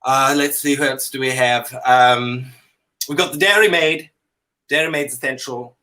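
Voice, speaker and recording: A man's voice: mid-range voice, normal speed, bright, forceful tone. A man from Canada chatting comically as a talk show guest in an affected, theatrical dandy persona, with hesitant phrasing. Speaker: drag comedy performer, talk show guest. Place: indoor room at home, on a video call. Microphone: video call.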